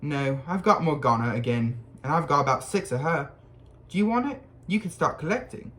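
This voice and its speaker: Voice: medium pitch, lively intonation, moderate pace, a bit bright, natural voice. A reader voicing a casual, blunt young schoolboy in a storybook, close to the reader's own voice. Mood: offhand.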